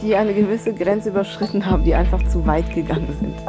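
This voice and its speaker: A woman's voice: mid-range voice, quick pace. A woman explaining things in casual talk, thoughtfully searching for her words. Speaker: interviewee talking about manga. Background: music.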